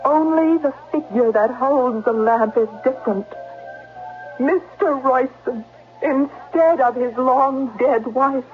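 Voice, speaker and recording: An actress playing a frightened, inquisitive young companion in a gothic manor, breathless and intense in a 1970s radio drama style. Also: female voice, sits high, slow, faint music behind. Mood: mounting terror. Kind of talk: 1970s radio drama acting with first-person narration. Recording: tinny old radio broadcast recording.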